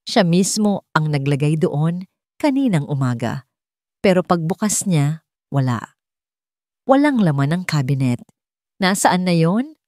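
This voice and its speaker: A Filipino woman narrates a dramatic story in a low register. The recording is dry and close, with hardly any room around her. Her delivery is that of a scripted storyteller. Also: sing-song, a little slow, pauses often, dark tone, no room sound.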